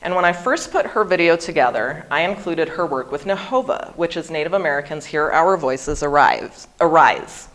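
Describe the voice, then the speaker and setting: Low-voiced woman giving a careful, deliberate talk. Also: expressive, fairly bright tone. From Hawaii. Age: 40s. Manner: careful, over-articulated pronunciation. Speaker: guest speaker. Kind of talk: prepared seminar talk.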